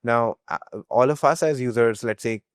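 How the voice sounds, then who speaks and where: A man's voice: medium pitch, normal speed, clear, resonant tone, no echo, studio quality. A young man with an Indian accent answering as a startup founder in an interview, explaining through hypothetical examples. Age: young adult.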